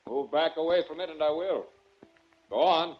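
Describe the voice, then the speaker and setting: A man's voice: a little high, moderate pace, soft background music, natural voice. A man acting a stern, condescending sanitarium official in a 1940s radio drama, clipped and commanding. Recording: muffled 1940s radio recording.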